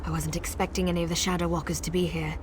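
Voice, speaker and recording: A woman's voice: on the low side, flat delivery, quick pace, background noise, natural voice. A woman voicing a determined, practical wood elf guide in a video game, purposeful and instructive. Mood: steady, reassuring.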